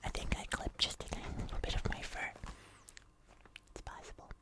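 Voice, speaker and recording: A fully whispered, breathy voice speaks close to the microphone in an ASMR style. The delivery is soft and unhurried, with gentle, casual asides as in a relaxed tasting video.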